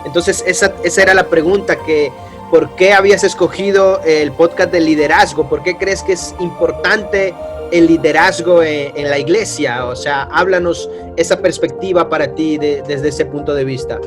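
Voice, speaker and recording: A man's voice: on the high side, steady tone, moderate pace, over music. A man hosting an interview who asks rambling questions and rephrases them as he goes. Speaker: interview host.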